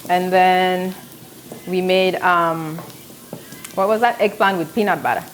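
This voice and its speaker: A South Sudanese woman in her thirties hosts a cooking show from her home kitchen. She talks in a fairly low voice and slips stories in between the cooking steps. Pans sizzle faintly in the background, picked up by a camera mic a few steps away. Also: steady tone, slow, slight background noise, poor audio. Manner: chatty, storytelling while cooking. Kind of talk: live cooking demonstration. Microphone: camera on tripod, medium distance.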